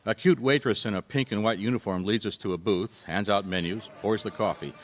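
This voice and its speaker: A man's voice: lowish voice, brisk, natural voice. An actor playing an easygoing American private eye, telling his story in a casual, wry radio drama style. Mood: relaxed storytelling. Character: easygoing male private eye recounting an old case. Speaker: lead actor and narrator. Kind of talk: conversational radio drama narration.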